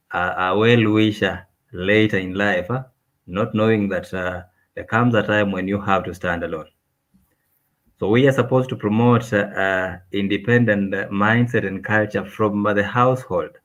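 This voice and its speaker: A man speaking as a guest in an interview, hesitant and deliberate, filling pauses with "uh". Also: on the low side, fairly bright tone, highs cut off. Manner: hesitant, many "uh" fillers, deliberate. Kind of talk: conversational interview.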